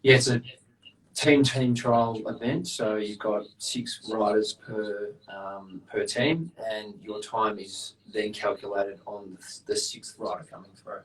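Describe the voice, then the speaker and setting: Low-voiced guest, relaxed. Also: male voice, takes it slowly, far from the mic, low-quality recording. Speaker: guest, real estate agent. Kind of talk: casual interview answers.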